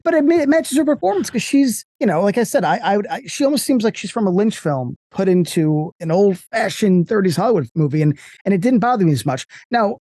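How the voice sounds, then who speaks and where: An American man talking casually and profanely about movies as a podcast guest, rambling with quick jokes. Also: sits high, no room sound. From United States. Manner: animated, profane, slips into mock voices.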